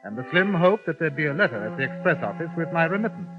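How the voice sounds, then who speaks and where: A polite English gentleman reporter. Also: a little high, very animated, fast talker. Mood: reflective. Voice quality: polite and understated. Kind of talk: 1950s radio drama acting with narration. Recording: dull lo-fi 1950s radio recording with music.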